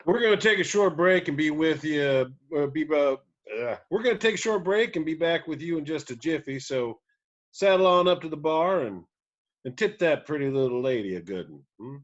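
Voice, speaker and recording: A man's voice: fairly high pitch, expressive, normal speed, highs cut off, close to an everyday voice. A man playing a folksy country band leader in a screenplay table read, recorded remotely. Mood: easygoing. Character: male country band leader, folksy, weary of low pay.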